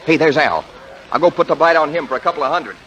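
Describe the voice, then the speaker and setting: An actor playing a cocky, breezy, joking daredevil racing pilot in a 1940s radio drama. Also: male voice, a little high, fast talker, fairly bright tone, muffled recording, lightly acted. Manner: breezy, flippant. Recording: hissy old 1940s radio broadcast.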